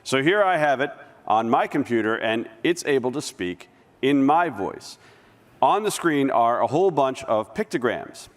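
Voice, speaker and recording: A man presenting a live software demo on stage, talking casually and restarting sentences as he clicks through. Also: mid-range voice, expressive, moderate pace. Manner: conversational, with restarts while demoing. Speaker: conference presenter. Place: large conference hall stage. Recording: long reverb of a large hall.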